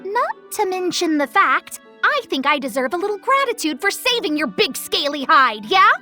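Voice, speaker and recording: A small, spirited goddess, talks in a high voice over faint music. She is bossy and cheeky, with the earnest authority of an anime game commander. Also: very animated, moderate pace, sharp tone, full character voice. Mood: indignant, cheeky. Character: bossy, spirited small female deity commanding time patrollers. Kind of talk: English anime game dub acting.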